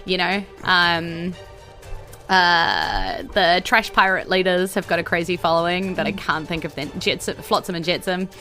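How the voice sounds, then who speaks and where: A woman's voice: on the low side, expressive, unhurried, pushed and bright, soft background music. A woman casually narrating a tabletop game as game master in an informal, giggly way. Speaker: game master of a tabletop role-playing podcast.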